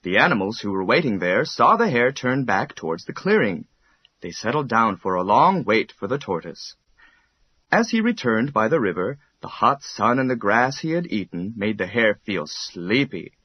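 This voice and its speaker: A man narrating a children's bedtime story in an expressive, measured storytelling manner. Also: fairly low pitch, breathy edge, dry recording, muffled recording.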